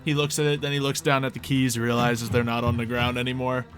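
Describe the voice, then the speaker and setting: A man's voice: mid-range voice, flat delivery, fast, a bit bright, soft background music. A man acting as game master, explaining and narrating a tabletop roleplay game in a casual, conversational way.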